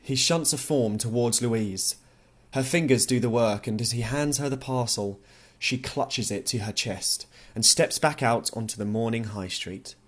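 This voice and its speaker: A man narrating a novel as an audiobook, reading scripted literary prose. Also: medium pitch.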